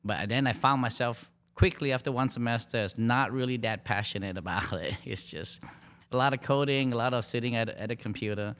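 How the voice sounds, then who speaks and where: A middle-aged man from Malaysia, a dean in hospitality, talking in a relaxed, halting way with fillers and restarts in a podcast interview. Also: mid-range voice.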